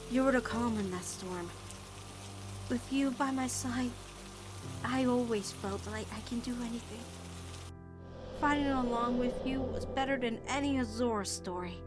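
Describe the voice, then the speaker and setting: A woman voicing an awkward, lovestruck teenage girl in a cartoon comic dub, speaking in a halting, heartfelt way over music. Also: on the high side, lightly acted. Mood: tender, sincere. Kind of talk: animated-series comic dub acting.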